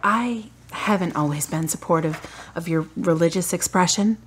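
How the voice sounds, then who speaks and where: An actress playing a strong-willed, emotional grieving daughter in a radio drama, with a slightly husky voice. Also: female voice, fairly low pitch, normal speed, a little hiss or hum, natural voice. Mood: earnest.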